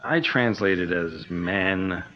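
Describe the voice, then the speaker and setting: Polite, low-voiced engineer, eager but uneasy, old-time radio drama, muffled audio. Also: male voice, takes it slowly. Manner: polite, eager, slightly nervous. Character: genteel, nervous male engineer and amateur archaeologist. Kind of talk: 1940s radio acting. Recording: dull lo-fi old-radio sound.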